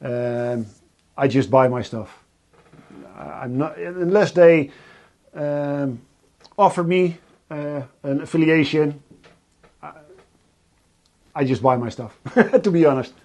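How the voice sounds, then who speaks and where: A Dutch man with a Dutch accent, hosting a casual live stream from his workshop and talking in a halting way with many fillers and restarts. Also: fairly high pitch, warm tone. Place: home workshop.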